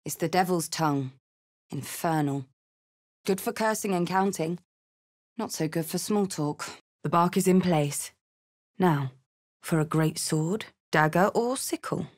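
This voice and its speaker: A woman's voice: lowish voice, unhurried, pauses often, a little mellow. A battle-hardened, big-hearted barbarian woman from a fantasy video game. She speaks bluntly and informally, in short punchy lines that suit a brash tiefling fighter. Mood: easygoing.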